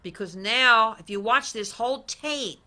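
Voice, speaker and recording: A forceful, bright-voiced woman hosting a talk show straight to camera. She speaks emphatically and drives her points home by repeating them. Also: fairly high pitch, unhurried. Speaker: talk show host and credit advisor.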